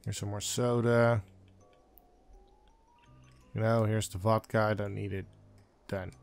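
A man's voice: fairly low pitch, little pitch movement, slow, creaky, soft background music. A man doing casual let's play commentary, sometimes reading game dialogue aloud.

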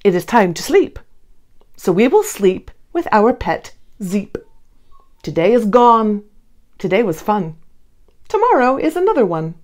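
A woman, around her thirties, talking and reading to young children in an expressive, emphatic way. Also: medium pitch, a little slow, long pauses. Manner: animated, emphatic, addressing children directly. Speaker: children's read-along host. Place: indoor room, seated before a plain blue wall.

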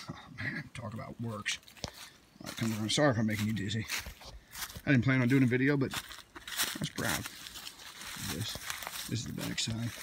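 A man's voice: mid-range voice, slight background noise. A middle-aged man from Missouri, rambling proudly and folksily while walking around outdoors with his phone camera. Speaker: vlogger showing his canoe rack. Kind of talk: casual off-the-cuff walkaround. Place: outdoors in rural woods. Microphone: phone camera held while walking.

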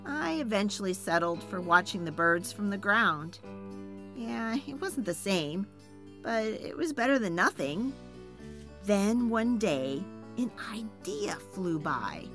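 A woman reading a children's picture book aloud, voicing the first-person narration with animated expression, soft laughs and sighs. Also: mid-range voice. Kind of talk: expressive read-aloud storytelling.

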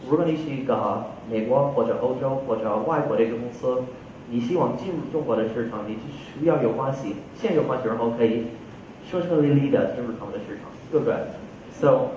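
A man's voice: mellow, far from the mic. Young American man talking on a big stage. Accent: American, New Jersey. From United States. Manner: earnest, conversational, repeats words when restarting. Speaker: conference speaker. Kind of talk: stage talk switching between English and Mandarin. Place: large dark auditorium stage. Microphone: headset mic. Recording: boomy hall sound, muffled, faint rumble.

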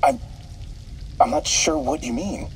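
An actor playing an uneasy, defensive technician working for criminals in a video game. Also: male voice, a little high, big pitch swings, unhurried, a little hiss or hum, rough recording.

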